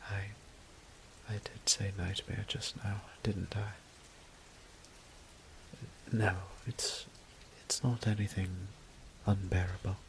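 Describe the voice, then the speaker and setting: Man playing a low, breathy, gentle vampire priest. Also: noisy background, heavily acted. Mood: weary, reassuring. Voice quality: breathy, half-whispered. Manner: hesitant, formal old-fashioned wording, tender. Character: gentle, apologetic centuries-old vampire priest, soft-hearted man. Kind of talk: intimate audio roleplay drama.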